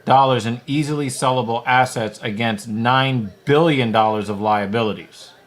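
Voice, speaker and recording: A man's voice: mid-range voice, moderate pace. A young man co-hosting a podcast, teasing his friends with crude, dry humor in casual chat. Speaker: podcast co-host. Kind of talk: casual banter.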